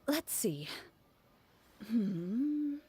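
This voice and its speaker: A woman playing a calm, orderly game master in an anime-style video game, explaining politely and evenly. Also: on the high side, drawn-out words, exaggerated voice. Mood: helpful. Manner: clear explaining, polite, even-tempered.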